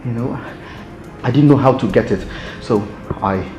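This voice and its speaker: A man's voice: medium pitch, over music, close to an everyday voice. An actor playing a scheming prince who stammers as he speaks. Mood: remorseful. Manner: stammering, halting.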